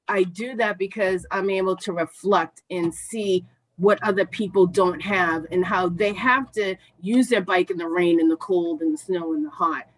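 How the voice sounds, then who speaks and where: A woman's voice: lowish voice, steady tone, normal speed, poor audio. A woman shares a personal travel story on a talk show in an earnest, reflective way. Her delivery is conversational, with everyday fillers. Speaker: co-host. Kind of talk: casual storytelling chat.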